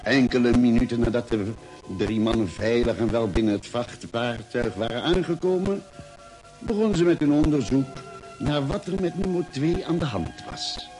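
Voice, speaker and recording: An actor playing a spaceship doctor who narrates in a Dutch radio play, expressive and articulate. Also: male voice, medium pitch, normal speed, lightly acted, poor audio. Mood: earnest storytelling. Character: male spaceship doctor narrating his adventure. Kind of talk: scripted radio drama narration and dialogue. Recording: old radio drama with music.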